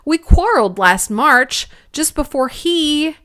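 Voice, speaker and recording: Storybook mad hatter, male figure read by a woman, mournful, quarrelsome, very animated. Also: high-pitched, slow, pitched above the performer's own voice, exaggerated voice. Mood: mournful, dramatic.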